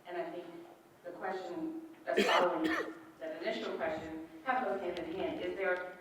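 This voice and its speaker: A woman's voice: lowish voice, normal speed. A woman in the audience of a public meeting, asking polite, rambling questions into a handheld mic. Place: large echoey meeting hall. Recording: echoey hall audio from far off, faint noise.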